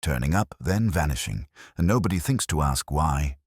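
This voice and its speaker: A man's voice: rumbling low, animated, normal speed, breathy edge, no room sound, clean studio sound, exaggerated voice. A man voicing an uneasy, plain-spoken police deputy with a troubled, questioning manner. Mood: troubled, puzzled.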